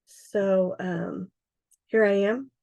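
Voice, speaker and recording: A middle-aged woman with a hoarse, froggy voice, chatting casually as she demonstrates craft supplies on a livestream, with many ums and uhs. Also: medium pitch, fairly even intonation, very slow, mellow, dull audio. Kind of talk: casual live product demonstration.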